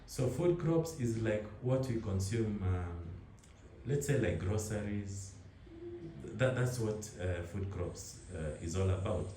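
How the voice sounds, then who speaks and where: A man's voice: lowish voice, slow, reverberant, a little hiss or hum. A young Kenyan man with a Kenyan accent, chatting easily and answering questions, restarting his words often. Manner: relaxed, rambling, frequent restarts and laughs. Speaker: interviewee. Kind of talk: casual conversation.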